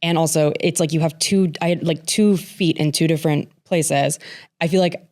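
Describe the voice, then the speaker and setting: A woman's voice: deep voice, steady tone, moderate pace, darkish tone. A woman hosting a podcast, chatting playfully and asking eager questions of her guest. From grew up internationally, partly in Germany. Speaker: podcast host. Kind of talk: casual interview conversation.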